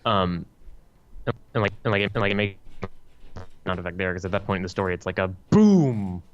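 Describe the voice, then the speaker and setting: A man's voice: low voice, animated, brisk, dull audio, slight background noise. A man co-hosting a podcast, giving his opinions in casual review chat. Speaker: podcast co-host reviewing fan films.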